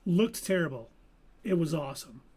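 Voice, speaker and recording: Co-host, male, slightly higher voice, opinionated and lively. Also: moderate pace, breathy edge. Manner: opinionated, animated movie talk. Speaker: podcast co-host. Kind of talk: casual chat.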